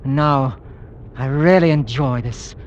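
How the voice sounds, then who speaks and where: An actor playing a bold, excitable monster hunter in an emphatic, theatrical 1940s radio drama style. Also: male voice, mid-range voice, a little slow, muffled recording, lightly acted. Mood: earnest, intent. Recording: slightly noisy vintage radio recording.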